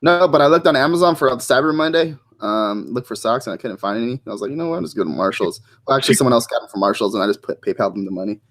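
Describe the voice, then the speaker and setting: A man's voice: mid-range voice, animated, rapid-fire, hardly any pauses. Podcast guest, man, meandering anecdotes, easy laughter. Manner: rambling storyteller, laughs often. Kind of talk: casual chat.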